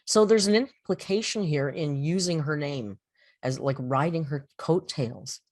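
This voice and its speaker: A woman hosting a mock-serious poetry critique, explaining in a deliberate, theatrical, scholarly manner. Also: low voice, a little mellow, no room sound, clean studio sound. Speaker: host giving a reading and critique. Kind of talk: mock-serious dramatic poetry reading and literary commentary.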